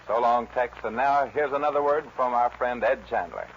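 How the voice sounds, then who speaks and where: Folksy American radio host, lo-fi vintage sound. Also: male voice, mid-range voice, normal speed. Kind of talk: folksy 1940s radio announcing. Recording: dull 1940s radio recording with hiss.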